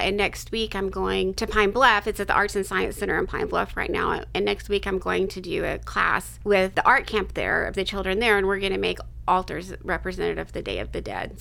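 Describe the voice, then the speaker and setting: A woman's voice: medium pitch, a little fast, gravelly, few pauses, bright, forceful tone. A woman in her fifties, an artist being interviewed, talking casually in a rambling way and restarting her sentences. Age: 50s. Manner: rambling, self-interrupting, laughs between thoughts.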